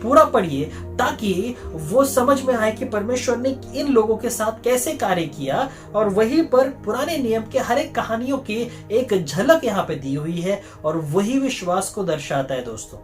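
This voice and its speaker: A man's voice: high voice, very animated, a little slow, faint music behind. A male pastor giving an earnest Bible teaching sermon, repeating phrases for emphasis.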